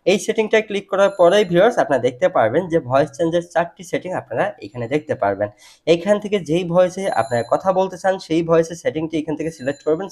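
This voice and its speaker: A man's voice: fairly high pitch, a little mellow. A man narrating a step-by-step phone tutorial, instructing and often addressing his viewers directly. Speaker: tech tutorial presenter.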